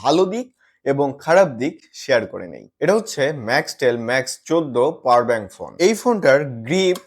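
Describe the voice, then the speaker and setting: A man's voice: medium pitch, sing-song, fairly quick. A man presenting a product review to viewers as a gadget reviewer, mixing in English words and phrases.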